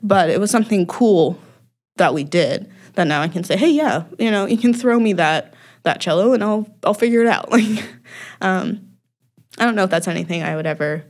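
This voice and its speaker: A woman's voice: mid-range voice, expressive, moderate pace, a little mellow. A young woman chatting casually as a podcast guest, with frequent ums and easy laughter. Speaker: podcast guest, music festival worker. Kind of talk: casual interview chat.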